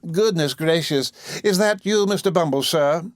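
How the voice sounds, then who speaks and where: A man's voice: on the high side, normal speed, no room sound, voice pushed up, lightly acted. A man voicing a fawning, scheming woman who runs a nursery for orphans, gushing and wheedling with overdone humility. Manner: gushing, wheedling, overly humble. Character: fawning, scheming middle-aged woman running a workhouse nursery.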